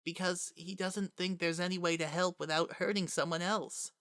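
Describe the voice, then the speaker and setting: Woman playing an earnest young boy, low-pitched, close. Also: fairly even intonation, moderate pace, fairly bright tone, no room sound, natural voice. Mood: anxious, earnest. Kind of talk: dramatic reading of fan fiction.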